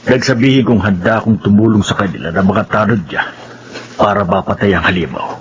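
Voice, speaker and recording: An actor playing a determined, suspicious village man in a radio horror drama, speaking in a deliberate, suspenseful way. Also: fairly low pitch, natural voice. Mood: grave, watchful. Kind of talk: Filipino radio horror drama acting, narrating in first person. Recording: dull audio with loud background noise.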